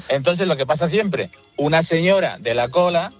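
A man's voice: fairly high pitch, lively intonation, measured pace, faint music behind. A man calling into a radio phone-in to tell a story about his daughter. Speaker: caller.

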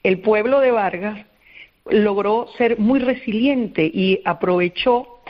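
Casting: Venezuelan female interview guest, earnest and explanatory, mid-range pitch, muffled radio-call sound. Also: slow. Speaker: interview guest, volunteer psychologist. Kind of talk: spontaneous radio interview answers. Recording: dull, band-limited radio call.

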